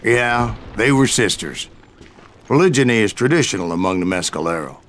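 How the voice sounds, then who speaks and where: An actor playing an aging, wry Old West bounty hunter, telling stories in a folksy American voice. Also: male voice, fairly low pitch, animated, moderate pace, fairly bright tone, a little hiss or hum. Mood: wry, dry. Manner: folksy, wry, colorful turns of phrase. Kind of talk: scripted storytelling narration.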